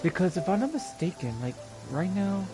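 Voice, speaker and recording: A man chatting casually as a game livestreamer, rambling in a halting way and repeating himself. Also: high voice, very animated, music in the background, low-quality recording.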